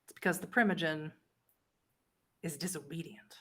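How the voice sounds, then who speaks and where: A woman playing an imperious vampire ruler in a tabletop game, measured and dryly disdainful. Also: low-pitched. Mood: cool, pointed. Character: imperious adult vampire prince, a cool, commanding lady.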